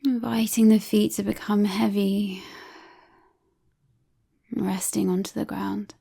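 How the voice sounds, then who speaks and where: A woman guides a relaxation in a soft, breathy voice that often drops close to a whisper. Her tone is mellow, with a creaky edge. She speaks very slowly and calmly, leaving long pauses between phrases. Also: mid-range voice. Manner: calm, soothing, unhurried with long pauses.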